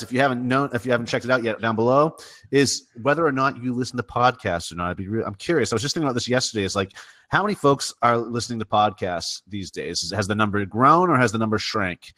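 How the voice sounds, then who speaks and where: A man hosting a live podcast chat, chatty and quick to laugh, with a stammer now and then. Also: lowish voice, a bit bright. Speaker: podcast host. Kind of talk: casual livestream chat.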